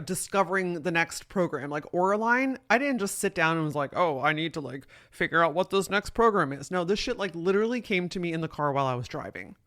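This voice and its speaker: A woman in her thirties with a deep voice, hosting a solo podcast and telling a personal story in a candid, swearing, animated way. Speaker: podcast host and keynote speaker. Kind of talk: casual solo podcast monologue.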